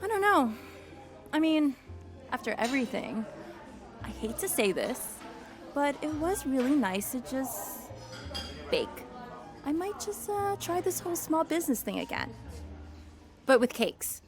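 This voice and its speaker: A woman's voice: high voice, very animated, unhurried, pauses often, over music. An actress playing an earnest, easily indignant young woman entrepreneur in a naturalistic comedy-drama podcast. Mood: resigned, reflective.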